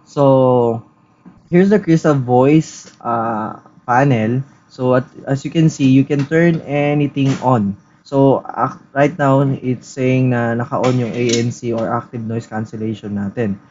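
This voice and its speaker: Filipino man doing a relaxed gadget review. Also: medium pitch, unhurried, highs cut off, slight background noise. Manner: relaxed Taglish code-switching, frequent "uh" and "so". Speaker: tech reviewer host. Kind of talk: casual product review and demo. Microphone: headset built-in mic.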